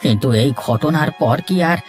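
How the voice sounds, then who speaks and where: A man's voice: medium pitch, normal speed, a little mellow, soft background music, natural voice. A man voicing an aggrieved old villager in a cartoon, with a plaintive manner. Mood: weary, plaintive. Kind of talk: Bengali moral-story cartoon dubbing.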